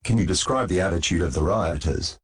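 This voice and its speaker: A man playing a serious TV news anchor with a formal, measured, questioning delivery. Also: sits low, close to an everyday voice. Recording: noisy track with clicks.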